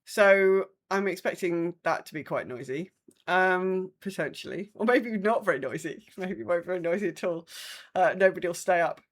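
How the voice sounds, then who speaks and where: A woman's voice: fairly low pitch, moderate pace. An English woman co-hosting a podcast in casual chat, hesitant and wry, often correcting herself. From England. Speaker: podcast co-host. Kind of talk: casual chat, reading listener updates.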